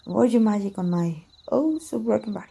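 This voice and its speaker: Mellow, husky woman as wounded immortal warrior. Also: medium pitch, expressive, fairly quick. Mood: uneasy, hesitant. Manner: archaic formal speech, teasing, hesitant murmurs. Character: wounded immortal warrior, proud, teasing, secretly smitten. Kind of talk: ASMR roleplay voice acting.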